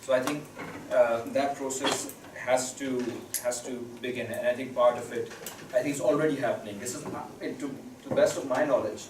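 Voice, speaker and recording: An earnest man, talking slowly on a seminar panel with lots of um and uh. He is picked up from a distance in an echoing seminar room, with steady background noise. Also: medium pitch. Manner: earnest, measured, slow build with frequent um and uh. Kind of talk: academic panel discussion. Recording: roomy echo, distant mic, noisy background.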